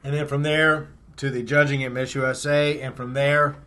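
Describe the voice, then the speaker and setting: A man interviewing a guest, asking brisk prompting questions. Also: mid-range voice, level tone, pushed and bright.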